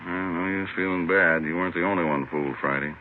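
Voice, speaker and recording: A man acting as a laconic American escape investigator in an old radio police drama. He is plainspoken and quick, with a low voice. The audio is thin and lo-fi. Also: natural voice. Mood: matter-of-fact. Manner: laconic, matter-of-fact. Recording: faintly noisy, narrow old radio recording.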